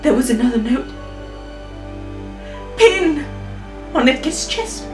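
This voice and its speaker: A woman's voice: high voice, very animated, takes it slowly. A woman telling an improvised spooky tale in a playful, chatty way, laughing at herself. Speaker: storyteller. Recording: roomy, off-mic, music behind.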